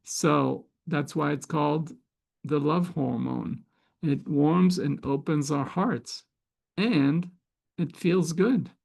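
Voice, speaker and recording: Slow, gravelly man with a Hebrew accent. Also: a little high, a little mellow. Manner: thoughtful, with frequent hesitations and fillers. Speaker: host of a spiritual gathering. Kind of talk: reflective spiritual talk.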